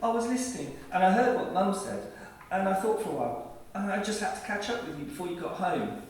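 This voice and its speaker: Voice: medium pitch, lively intonation, fairly quick, lightly acted. An actor playing an earnest, anxious young boy in a radio play. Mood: anxious, pleading. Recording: echoey room, far from mic.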